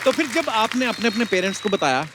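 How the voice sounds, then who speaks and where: A man's voice: sits high, very animated, fast, crowd noise, noisy background. A man hosting a talk show with teasing, joking banter between questions to guests. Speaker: comedy talk show host. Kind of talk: bantering comedy interview in Hindi mixed with English.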